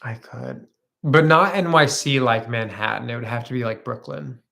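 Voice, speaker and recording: A man's voice: medium pitch, moderate pace, gravelly, pushed and bright. A man in his thirties co-hosting a casual live chat, reading viewer comments in an upbeat, talkative way with easy laughs. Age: 30s. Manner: chatty and upbeat, laughs easily. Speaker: co-host of a live chat stream. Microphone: phone front camera.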